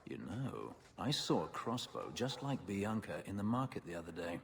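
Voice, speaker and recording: An actor voicing a dry, teasing adventuring companion in a video game, with a gravelly voice. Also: male voice, low-pitched, big pitch swings, normal speed, slight background noise, exaggerated voice.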